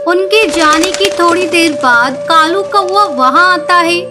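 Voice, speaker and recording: A woman's voice: very high-pitched, measured pace, music in the background. A woman narrator reading a scripted children's cartoon story.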